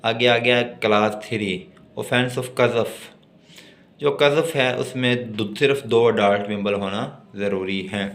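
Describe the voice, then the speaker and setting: A man with a Pakistani accent giving an explanatory law lecture, reading out and explaining in a deliberate, instructive way. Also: lowish voice, fairly even intonation, fairly bright tone.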